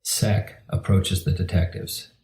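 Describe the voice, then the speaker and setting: A man's voice: slow, darkish tone. A man reading scripted narration for an audio drama in a roomy recording with music behind him.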